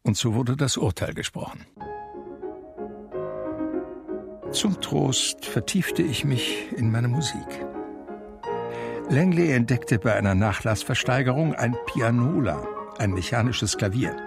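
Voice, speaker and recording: An actor playing a wistful blind pianist who narrates his life in a radio drama, measured and reflective, with a gravelly voice. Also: medium pitch, music in the background. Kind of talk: literary first-person radio drama narration.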